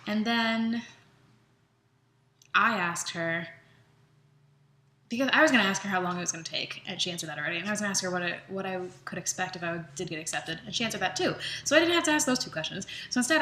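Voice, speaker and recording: A woman's voice: mid-range voice, quick pace. A young adult woman vlogging in a casual, chatty way, candidly retelling her own experience and acting out her own thoughts. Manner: chatty, candid, animated retelling with quoted self-talk. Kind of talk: casual chat to camera. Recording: roomy echo, faint background noise.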